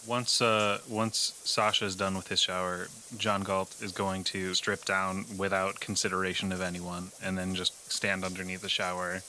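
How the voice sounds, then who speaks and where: Dry, low, bright-edged man. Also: monotone, moderate pace, few pauses, slight background noise. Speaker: player on a tabletop game podcast. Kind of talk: improvised in-character play and narration.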